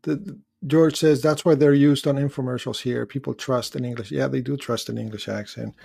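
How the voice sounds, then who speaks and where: Gravelly man hosting a live call-in show. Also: medium pitch, fairly even intonation, normal speed, dark tone. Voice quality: rambling, searching for words. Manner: reads out viewer comments. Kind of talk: casual live-stream chat.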